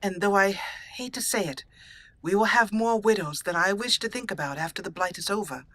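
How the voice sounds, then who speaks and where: Woman voicing a bright, proud exiled noblewoman. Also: mid-range voice, close to an everyday voice. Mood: grave, earnest. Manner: formal, deliberate. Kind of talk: roleplayed video game dialogue.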